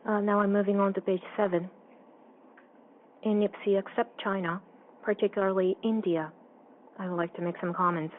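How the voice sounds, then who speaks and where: A woman interpreting a formal financial results presentation on a conference call in an even, businesslike manner. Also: medium pitch, normal speed, long pauses. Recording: dull, slightly noisy call audio.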